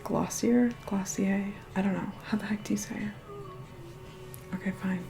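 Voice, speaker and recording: A woman playing a reluctant, haughty vampire in a whispered ASMR roleplay, hushed, bossy and begrudging. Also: medium pitch, very animated, measured pace, gravelly, over music. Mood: grudging.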